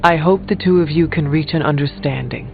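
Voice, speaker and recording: Formal, higher-voiced loyal counselor. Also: male voice, creaky, narrow, tinny audio, faint music behind. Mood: calm, wry. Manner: formal, measured, earnest. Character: calm, candid male counselor and loyal friend. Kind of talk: video game voice acting.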